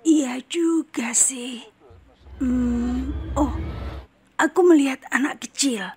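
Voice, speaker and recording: A woman voicing a calm, self-assured psychic in a horror cartoon, speaking in a measured, often hushed way. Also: high voice, a little hiss or hum. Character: calm female psychic, middle-aged, sure of herself. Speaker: paranormal.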